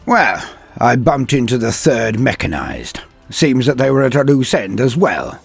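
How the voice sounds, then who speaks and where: A man's voice: mid-range voice, big pitch swings, a little slow, gravelly, slightly rough, soft background music, pitched above the performer's own voice, heavily acted. A man voicing a bold, wry military commissar in an audio story, with clipped delivery. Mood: evasive, casual. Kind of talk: dramatic audio story character voice.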